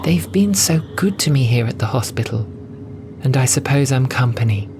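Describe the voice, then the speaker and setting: A man voicing a gentle young female cat nun in an audio drama, soft and wistful, with earnest storytelling. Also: medium pitch, expressive, moderate pace, noisy background, pitched above the performer's own voice, lightly acted. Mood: rueful, bitter. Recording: music bed under voice. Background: music.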